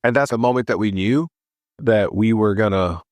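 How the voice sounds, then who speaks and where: A man's voice: on the low side, moderate pace, no echo. Chatty man from Hawaii telling stories. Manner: chatty, animated voice when quoting himself. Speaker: guest. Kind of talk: casual storytelling.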